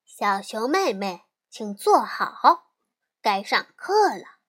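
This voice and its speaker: A woman's voice: on the high side, sing-song, takes it slowly, a bit bright, full character voice. A woman doing a bossy boastful child. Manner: bossy, commanding.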